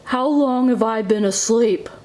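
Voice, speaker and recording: A woman voicing a sleepy, grumpy male toad from a children's storybook, with a weary, drawn-out delivery. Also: fairly high pitch, a little mellow, natural voice. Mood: sleepy, reluctant. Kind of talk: children's storybook read aloud.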